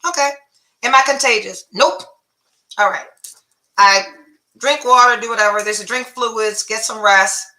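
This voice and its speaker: Profane, animated woman host, bright voice. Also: on the high side, a little slow, pauses often, reverberant. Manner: blunt, profane, animated storytelling. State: congested with phlegm and cough. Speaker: podcast host. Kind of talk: casual storytelling monologue.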